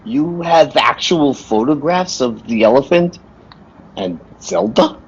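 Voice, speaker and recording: A man playing a sad, quietly intense circus clown in an old-time radio play, husky and solemn in a deliberate way. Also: medium pitch, lively intonation, dull audio. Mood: grave.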